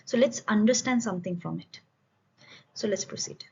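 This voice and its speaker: Husky woman giving an academic presentation. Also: mid-range voice, moderate pace, mellow. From India. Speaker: student researcher presenting a study. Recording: dull, lo-fi voice recording.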